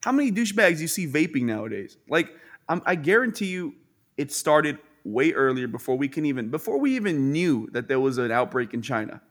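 A young man chatting as a podcast guest, slangy and animated, often mimicking other people mid-sentence. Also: a little high, fairly quick. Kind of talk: casual chat.

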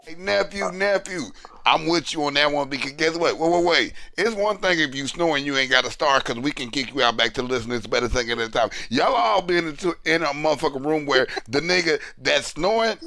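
Man, gravelly, bright, swearing, joking banter. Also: a little high, expressive, a little fast. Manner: loud, joking, hyped, swears a lot. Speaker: show regular. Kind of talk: casual chat on a live audio show.

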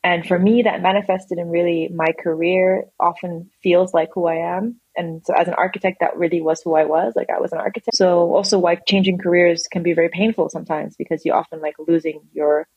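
A woman guest in a conversational interview, telling her story quickly and animatedly, with frequent restarts. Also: fairly low pitch, steady tone, darkish tone, breathy edge, no room sound, lo-fi sound. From grew up in England, Australia and the UAE. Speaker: guest, design manager.

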